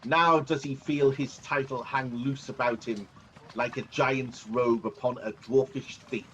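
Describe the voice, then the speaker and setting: Man as slow, grave noble soldier, muffled line. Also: medium pitch, fairly even intonation, fairly bright tone, lightly acted. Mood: grave, resolute. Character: grave Scottish noble rebel soldier condemning a tyrant. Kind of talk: Shakespearean radio play acting. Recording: muffled, noisy video-call audio.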